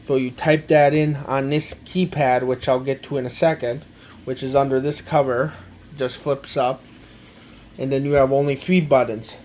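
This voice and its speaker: Halting man, noisy home recording. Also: mid-range voice, flat delivery, a little mellow. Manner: halting, repetitive, step-by-step explaining. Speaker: amateur gadget demonstrator. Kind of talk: casual product walkthrough.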